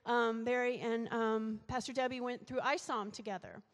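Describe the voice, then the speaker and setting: Middle-aged woman guest speaker, croaky with a cold, higher voice, chatty, reverberant church. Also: steady tone, moderate pace. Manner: chatty, warm-up with fillers. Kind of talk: sermon introduction. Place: church sanctuary at a lectern. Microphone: handheld mic.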